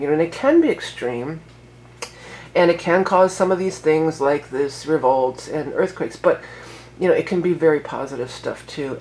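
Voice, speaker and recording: Woman, head cold, casual astrology talk, rambling, self-correcting, faint background noise. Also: low voice.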